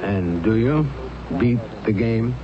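An actor playing a composed, skeptical psychiatrist in a 1970s radio drama, questioning in a dry, measured manner. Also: male voice, fairly low pitch, expressive, a crowd in the background. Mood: probing. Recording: muffled, noisy old radio broadcast.

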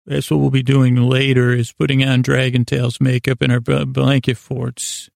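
Very creaky, rambling male bedtime podcaster. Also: medium pitch, level tone, normal speed, darkish tone, no room sound, clean studio sound. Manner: hesitant, meandering, stumbles over words, drifts into tangents. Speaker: podcast host. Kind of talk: rambling bedtime podcast monologue, meant to lull listeners to sleep. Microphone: close studio mic.

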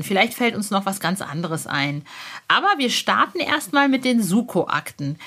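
A woman's voice: fairly high pitch, a bit bright. A woman hosting a podcast in a chatty, informal and enthusiastic way, speaking directly to listeners. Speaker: podcast host.

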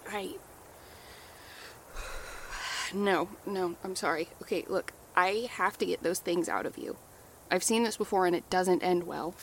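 A woman playing a hushed, halting amnesiac wife. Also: on the low side, slight background noise. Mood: confused, then urgent. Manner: hesitant, halting, often hushed. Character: wounded amnesiac wife, wary and urgent. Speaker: character. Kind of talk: horror audio drama acting.

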